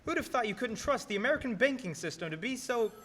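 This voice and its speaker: Radio drama townsman, acted by a young man: folksy, excitable, very animated, in a noisy echoing theater. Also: very high-pitched, quick pace. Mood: panicked. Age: teens. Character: friendly small-town cab driver, and frantic flustered older uncle. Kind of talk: filmed radio play acting. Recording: noisy recording in reverberant theater.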